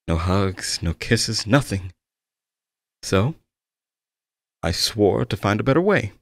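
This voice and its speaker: A man's voice: fairly low pitch, no room sound, studio quality, lightly acted. A man playing an obsessed, possessive young male game developer, tender, pleading and fervent, in an intimate audio roleplay monologue. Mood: adoring.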